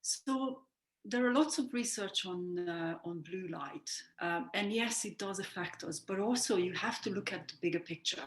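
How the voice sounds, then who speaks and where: Woman, interview guest, earnest and explanatory, medium pitch, roomy recording. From Hungary. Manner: earnest, reflective, self-correcting. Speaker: sleep coach guest. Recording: roomy echo.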